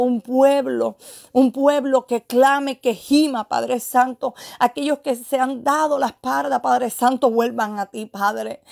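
A woman's voice: a little high, vocal fry, darkish tone, no echo, clean studio sound. A woman preaching in prophetic exhortation and prayer, fervently and with insistent repetition of phrases.

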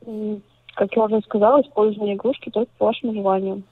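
Woman, phone support agent, formal scripted delivery at a fast clip, dry lo-fi line. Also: mid-range voice, steady tone, husky, dry recording. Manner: polite, scripted, businesslike. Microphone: telephone.

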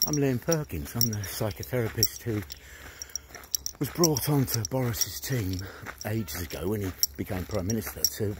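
A man's voice: fairly low pitch, normal speed. Brash man on a noisy phone video. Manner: brash, sweary, mocking, pushy. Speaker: comedian doing a prank phone call. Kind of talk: one-sided mock phone call, improvised comedy. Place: outdoors among trees. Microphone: phone held at arm's length, headphones on. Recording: noisy outdoor phone recording.

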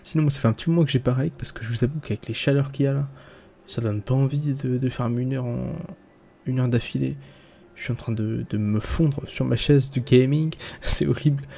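A young man chatting casually as host of his gaming videos, relaxed and talkative with fillers, at home at his gaming setup. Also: mid-range voice, a little fast, gravelly, slight background noise. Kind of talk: casual gameplay commentary.

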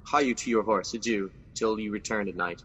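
A man acting as a newly crowned, ambitious king in an amateur radio drama, speaking verse in a measured, regal way. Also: fairly low pitch, a bit bright, husky, big-room sound, lo-fi sound.

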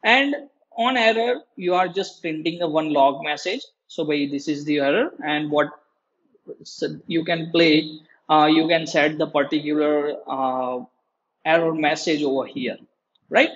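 Guy doing a coding tutorial, high husky voice, explains step by step. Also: a little slow, a bit bright, highs cut off. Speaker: programming lecturer. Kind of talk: screen-recorded tutorial explanation.

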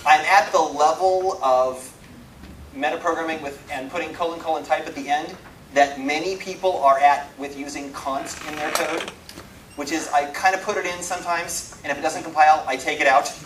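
A man with a slightly high voice delivers an informal programming lecture with dry, self-mocking humour. He speaks emphatically and pauses for effect. The sound is roomy and a little distant from the microphone. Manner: animated, self-deprecating, wry humour. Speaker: speaker giving a technical programming talk. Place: indoor talk room. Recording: roomy, distant mic, some background noise.

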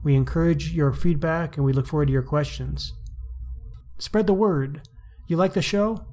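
A man's voice: a little high, moderate pace, darkish tone. A man co-hosting a podcast, chatting casually with an enthusiastic, conversational manner. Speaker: podcast co-host.

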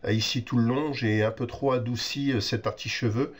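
A man with a French accent, a bit stuffy from a cold, talking through a photo editing tutorial in a relaxed way and often pausing to think aloud. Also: mid-range voice. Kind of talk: screen-recorded software tutorial.

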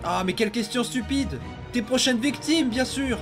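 A man's voice: very high-pitched, normal speed, over music. A man voicing a menacing, manipulative young boy out for revenge in a French comic dub, coaxing and commanding. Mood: impatient, eager.

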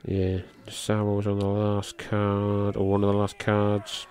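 A man's voice: a little slow, a little hiss or hum. A middle-aged man, a podcast host giving loose, rambling play-by-play of a match, who swears casually and lifts his voice at the big moves. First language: English. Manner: loose, rambling, swears casually, gets excited at high spots.

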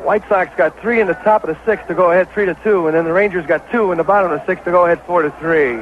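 An American man calling baseball play-by-play on 1970s radio as an excitable, partisan announcer. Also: sits high, quick pace. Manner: excitable, partisan home-team caller. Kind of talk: 1970s live radio sports commentary. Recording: dull, noisy vintage radio broadcast.

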